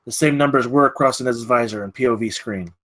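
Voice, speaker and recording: A man's voice: medium pitch, fast, a bit bright. A man reading stage directions at a screenplay table read, in a dramatic, clipped way.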